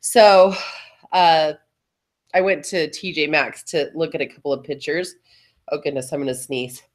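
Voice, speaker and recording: Low-voiced middle-aged woman with allergies, chatting. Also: steady tone, moderate pace. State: voice lowered by allergies. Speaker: podcast co-host. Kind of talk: casual chat.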